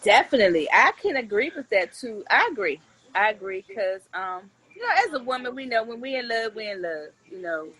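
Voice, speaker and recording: A woman's voice: on the high side, lively intonation, brisk, a bit bright, husky, poor audio. A woman in her forties talking as a guest in a candid, joking casual chat, blunt and full of earthy slang. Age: 40s. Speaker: interview guest, comedian.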